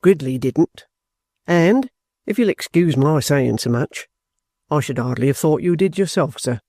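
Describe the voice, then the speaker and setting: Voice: medium pitch, lively intonation, measured pace, dark tone, dry recording, studio quality, voice pushed down. A reader voicing a bluff, stubborn ex-soldier in an audiobook, courteous, plain-spoken and offhand. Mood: polite, firm. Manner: respectful, offhand, self-possessed.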